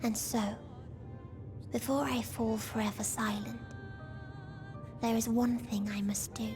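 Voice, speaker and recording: A woman voicing a gentle, sorrowful otherworldly girl in a video game cutscene, speaking in a soft, breathy, solemn and poetic way over music. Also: on the high side, level tone, unhurried. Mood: solemn remorse.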